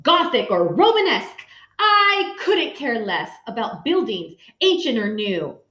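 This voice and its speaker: A woman's voice: a little high, very animated, measured pace, bright, forceful tone, echoey room, muffled recording, exaggerated voice. A woman voicing a stern, melodramatic schoolteacher in a picture book, with dramatic emphasis. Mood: dismissive. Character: stern, melodramatic female second-grade teacher.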